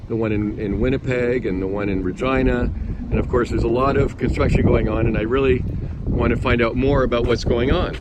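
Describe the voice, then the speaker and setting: A man hosting a casual travel vlog outdoors, rambling and hesitant with many fillers as he interviews a passer-by. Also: medium pitch. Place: outdoors on a city street by a building. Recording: outdoor street recording with clear traffic noise.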